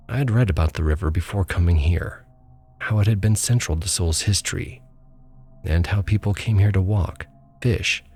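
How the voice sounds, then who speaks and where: A man narrating a first-person ghost story in a calm, measured storytelling voice. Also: low-pitched. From small town abroad. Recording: faint music bed behind.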